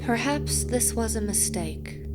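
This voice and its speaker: Exacting, proud boss, audio drama. High register, deliberate pace, precise formal diction, biting sarcasm. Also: female voice, expressive, over loud music. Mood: cold, wounded. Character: formal, exacting, proud supervisor with guarded concern.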